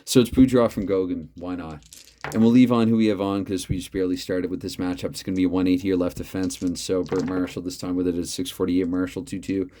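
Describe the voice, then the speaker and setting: A man hosting a tabletop hockey replay at home, mixing mock play-by-play with chatty, thinking-aloud dice math in a loud voice he keeps reined in. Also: deep voice, little pitch movement, fast, a little hiss or hum. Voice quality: loud voice, holding back. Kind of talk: mock play-by-play commentary between dice rolls.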